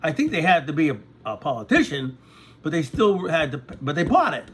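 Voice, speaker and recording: Man, sixties, high-ish animated voice, meandering vlog monologue with frequent asides to viewers. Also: a little fast, husky. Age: 60s. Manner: conversational, repeats "okay?" to check in with viewers. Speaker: vlogger. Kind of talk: rambling casual monologue.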